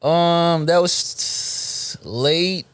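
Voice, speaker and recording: Man in his twenties, from Belgium, Moroccan Arabic native, relaxed and hesitant, dry close sound. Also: on the high side, drawn-out words, clear, resonant tone, dry recording. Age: 20s. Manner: relaxed, hesitant with frequent ums and restarts. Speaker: rapper, guest. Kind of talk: casual interview.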